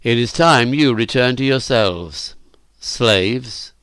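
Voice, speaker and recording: A man playing a stern, aloof master in an audiobook, speaking in a formal, measured, authoritative way. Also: medium pitch, lively intonation, fairly bright tone, smooth. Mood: calm, formal. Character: stern, commanding male master, calm and aloof.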